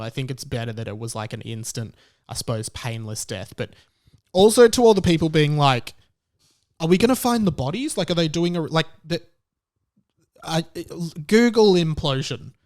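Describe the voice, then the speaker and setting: A man hosting a podcast, giving casual opinion commentary in a conversational, rambling and emphatic way. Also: mid-range voice. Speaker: podcast host.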